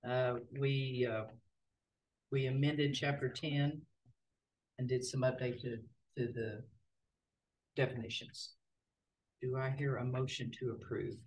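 A board chair running a city meeting in a folksy, relaxed way, with many filler words. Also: medium pitch, slow, pauses often. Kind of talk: formal meeting procedure mixed with casual remarks. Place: city council chamber. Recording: distant mic in a meeting room.

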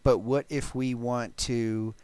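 A man presenting a technical programming demo, explaining step by step in a halting, thinking-aloud way. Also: medium pitch, level tone, darkish tone, clear, resonant tone, no room sound. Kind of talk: technical talk with live code demo.

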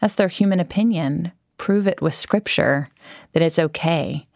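A woman's voice: fairly low pitch, a little slow, vocal fry, a little mellow, no echo, clean studio sound. A woman hosting a faith podcast, talking through her views in an earnest, conversational way with fillers and restarts. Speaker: podcast host.

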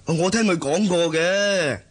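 An actor playing a deferential, grumbling inn waiter in a radio drama, chatty, with a Hong Kong Cantonese accent. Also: male voice, high-pitched, takes it slowly, slightly rough, full character voice. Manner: chatty, complaining.

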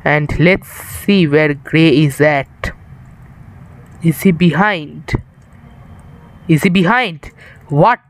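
A young man from India talks to his viewers in an unhurried, drawn-out way as he plays, his voice sitting a little high. He rambles loosely, sounds worn out, and uses an improvised setup. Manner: rambling, chatty, addresses viewers. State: tired. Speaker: streamer. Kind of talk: casual live-stream chat while gaming.

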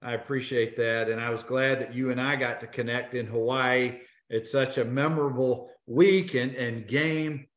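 A man in his thirties hosting a live video interview, upbeat and joking with quick asides. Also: mid-range voice, steady tone. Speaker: host. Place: home office, indoors. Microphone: video call. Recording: roomy video call audio.

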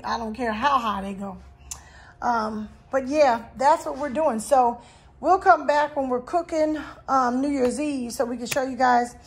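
Breathy high-voiced woman showing groceries. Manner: chatty, lots of "you guys" and "um". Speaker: grocery haul vlogger. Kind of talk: casual chat to camera. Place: home kitchen.

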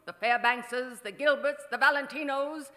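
A woman's voice: high voice, steady tone, moderate pace, pushed and bright. A woman playing a vain, imperious faded silent-film diva in a radio drama, with grand, theatrical delivery. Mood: scornful, bitter. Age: middle-aged. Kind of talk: old-time radio drama acting. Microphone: stand microphone on stage. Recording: reverberant theater stage recording.

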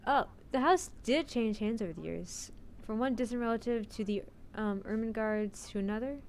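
A woman's voice: fairly high pitch, normal speed, darkish tone, a little hiss or hum. A woman streamer reading a visual novel's narration aloud into a close mic.

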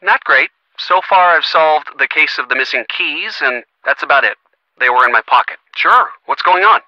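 An earnest young American boyfriend for a video game. His youthful voice sits a little high and is very bright, with a conversational, wry delivery. The sound is close and dry. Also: animated, normal speed, no room sound, muffled recording. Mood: wry, self-deprecating. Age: young adult. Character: earnest young boyfriend of a sleuth, gently sardonic. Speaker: voice actor. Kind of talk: video game phone-call dialogue.

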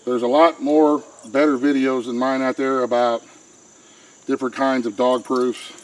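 A man's voice: medium pitch, level tone, moderate pace, low-quality recording. A middle-aged man, explaining step by step in a plain, folksy way how to set a trap, recorded outdoors on a camera mic. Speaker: homesteader showing how to set traps. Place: outdoors by a pen and a wooded creek. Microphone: camera mic, handheld camera close by. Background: faint outdoor insects and crickets.

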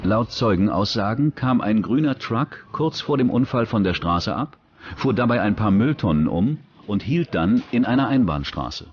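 A man reading scripted true-crime documentary narration in a measured, factual way. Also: on the low side, lively intonation, dull audio, a little hiss or hum.

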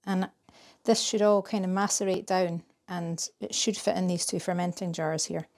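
A woman in her thirties from Scotland, casually explaining a recipe in her home kitchen with a chatty, relaxed manner and some fillers. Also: lowish voice, moderate pace. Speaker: vlogger. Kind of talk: casual narration while cooking.

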